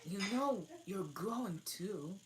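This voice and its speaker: A woman's voice: mid-range voice, animated, takes it slowly, noisy background, pitched above the performer's own voice. A woman voicing a young girl in a storybook, meek yet willing to answer back plainly. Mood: bold.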